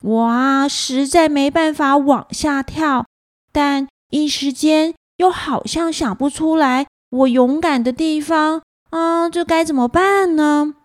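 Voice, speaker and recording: A woman's voice: sits high, takes it slowly, no echo, clean studio sound. A woman voicing a timid, polite little lost girl in an earnest, wondering manner for a children's story. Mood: anxious.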